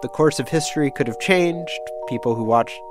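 A man's voice: medium pitch, normal speed, over music. A man explaining things informally as a science correspondent, telling a story in a conversational podcast style.

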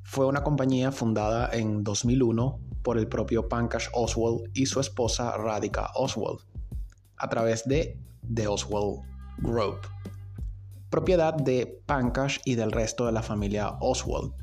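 A man's voice: mid-range voice, unhurried, a little mellow. A man hosting a podcast, telling a story in an informal, conversational way with sardonic asides. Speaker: podcast host. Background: music.

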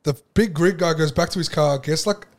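Young aussie guy, podcast co-host, rambling crude storytelling, slightly high voice. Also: brisk. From Australia. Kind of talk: casual banter.

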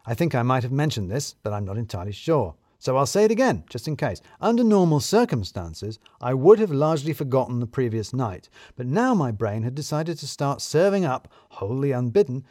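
A man narrating a scripted first-person comic story in a wry, theatrically self-pitying way with deliberate emphasis. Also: medium pitch, sing-song, a little mellow, no echo, clean studio sound.

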